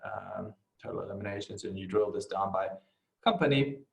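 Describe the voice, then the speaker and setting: A man talking through an informal screen-share software tutorial, halting as he goes and often adding short tag questions. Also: on the low side, vocal fry. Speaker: software instructor.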